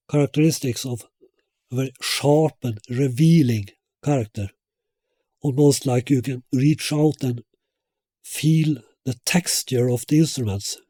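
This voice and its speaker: A man presenting a technical product explanation as a loudspeaker designer, in a halting, hesitant way. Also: mid-range voice, no echo, clean studio sound.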